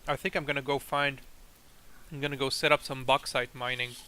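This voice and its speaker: A man's voice: mid-range voice, flat delivery, fairly quick, bright, forceful tone. A young man chatting casually and teasing his friend while explaining their factory in a game. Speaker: co-host playing a factory game. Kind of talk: casual chat over gameplay.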